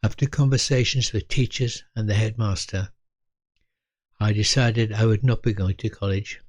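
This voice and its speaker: Low-voiced elderly man narrating. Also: fairly even intonation, moderate pace, dry recording. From Britain. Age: 80s. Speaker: storyteller recalling his youth. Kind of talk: scripted reflective memoir narration.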